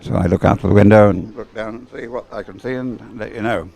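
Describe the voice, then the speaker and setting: A man's voice: on the low side, brisk, creaky, a little mellow. A man giving live race commentary in a conversational, hesitant way, thinking aloud as he goes. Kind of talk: live sports commentary, unscripted. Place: commentary room overlooking the course.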